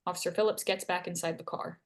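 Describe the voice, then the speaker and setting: A woman's voice: fairly low pitch, steady tone, fast talker. A woman reading scene headings and stage directions aloud as narrator at a screenplay table read.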